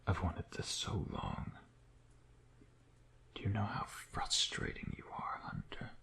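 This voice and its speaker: A man playing a suave, predatory male vampire in an intimate ASMR roleplay, teasing in a breathy voice that often drops to a whisper. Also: lowish voice, huge pitch swings, pushed and bright, heavily acted. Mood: hungry, intimate. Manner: slow, teasing, murmuring with pet names.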